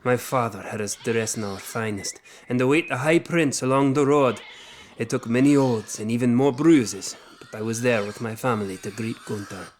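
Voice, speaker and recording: An actor playing a weathered knight who recounts his childhood in formal, measured phrases, in audio drama. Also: medium pitch, slight background noise, natural voice. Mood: reflective.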